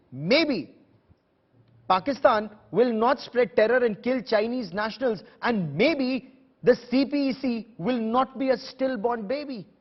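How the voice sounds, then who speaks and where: A man with an Indian accent moderating a TV news debate, pressing guests with emphatic, confrontational rhetorical questions in a husky voice. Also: very high voice. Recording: dull lo-fi broadcast audio.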